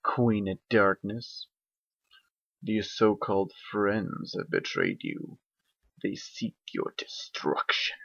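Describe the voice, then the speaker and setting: A man voicing a shadowy dark entity that speaks slowly and quietly with cold menace. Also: huge pitch swings, creaky, no room sound, full character voice. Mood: menacing. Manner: slow, low, hushed menace. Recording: dull lo-fi sound.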